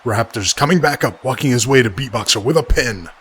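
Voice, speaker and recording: Slangy, hyped male fight commentator, acted: medium pitch, blow-by-blow cartoon wrestling calls, noticeable background noise. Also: animated, brisk, close to an everyday voice. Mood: focused. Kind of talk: sports commentary.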